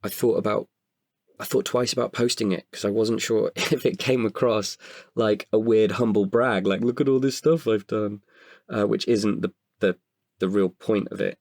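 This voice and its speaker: A man, an actor, talking reflectively about himself in an interview, haltingly and with pauses mid-sentence. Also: lowish voice, steady tone, gravelly, a little mellow, no room sound, studio quality. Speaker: actor and guest writer. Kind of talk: reflective personal interview talk.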